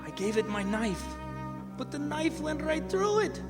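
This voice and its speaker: A man playing a frightened, superstitious ship's mate in a radio drama, with halting, dramatic foreign-sailor speech. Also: very high voice, big pitch swings, exaggerated voice. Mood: haunted, frantic. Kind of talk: old-time radio drama acting. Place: performing arts theatre stage. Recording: stage hall reverb with loud music.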